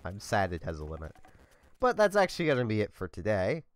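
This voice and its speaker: Low monotone young man. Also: quick pace, no room sound. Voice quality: slightly scratchy. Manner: monotone, low-key, rambling with many "like"s. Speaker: let's play host. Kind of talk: casual gameplay commentary.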